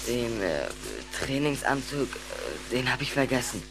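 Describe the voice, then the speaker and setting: Schoolboy in a kids audio drama, kinda hesitant n evasive, stammers, clear noise behind. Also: mid-range voice, sing-song, unhurried. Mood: evasive, sheepish. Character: young schoolboy Anton, reluctant and evasive. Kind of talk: German children's audio drama acting.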